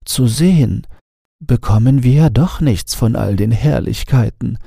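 A man voicing a resigned, sleepy garden lawn that gently complains in a bedtime fairy tale. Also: medium pitch, unhurried, vocal fry, slightly rough, no room sound, studio quality, voice pushed up. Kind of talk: bedtime storybook character voice.

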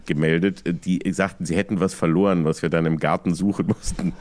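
Low-voiced man rambling through an anecdote. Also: fairly even intonation, a little mellow. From Germany. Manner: halting, rambling, self-mocking, with chuckles. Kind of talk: casual anecdote telling in a podcast talk.

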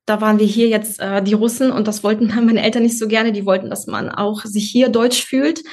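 A woman in her thirties, born in Russia and raised in Germany, telling her personal story as a podcast guest with many pauses and fillers. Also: medium pitch, steady tone, darkish tone. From born in Russia, grew up in Germany. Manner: hesitant, many fillers and restarts.

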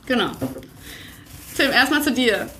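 A woman's voice: sits high, expressive, measured pace, pushed and bright, a little hiss or hum, low-quality recording. A woman hosting a podcast, talking in a conversational interview style. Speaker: podcast host.

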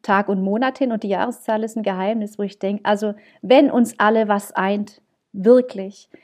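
A woman's voice: mid-range voice, steady tone, normal speed, a little mellow. A woman, hosting a podcast in casual, chatty talk, often laughing at herself. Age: 30s. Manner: chatty and laughing, self-mocking. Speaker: podcast host. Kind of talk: casual chat.

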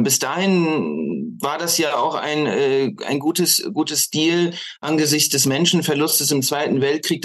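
Male author as interview guest, slightly high pitch, halting, deliberate political commentary with repeated words. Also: fairly bright tone. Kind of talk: political discussion.

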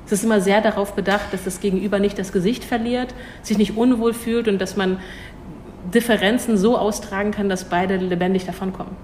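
A young woman answering interview questions in a thoughtful way, hesitating and restarting, quick to laugh. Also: mid-range voice, fairly even intonation, a little mellow, a little hiss or hum. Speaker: cultural attaché at an embassy.

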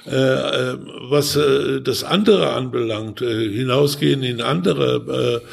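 Older man, heavy creaky rasp, husky tone, halting conversational delivery, frequent tag questions. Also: medium pitch. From Ruhr region, Germany. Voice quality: gravelly, husky. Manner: halting, hesitant, often tags sentences with a questioning word. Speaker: author, interview guest. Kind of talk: conversational interview.

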